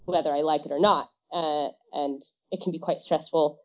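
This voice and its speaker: A woman's voice: deep voice, steady tone, moderate pace, darkish tone. Chatty woman laughing between remarks. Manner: chatty, laughs often, trails off. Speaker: guest; English teacher. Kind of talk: casual podcast chat. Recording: dull lo-fi audio.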